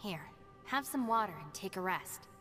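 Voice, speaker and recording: A woman's voice: mid-range voice, expressive, moderate pace, a bit bright, faint music behind, close to an everyday voice. A woman voicing a level-headed, practical young deputy in a video game, dry and matter-of-fact.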